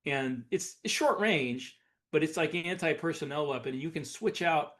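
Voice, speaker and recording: A man's voice: a little high, lively intonation, normal speed, fairly bright tone. A man co-hosting a podcast in casual chat, explaining things informally and often correcting himself as he goes. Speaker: podcast co-host.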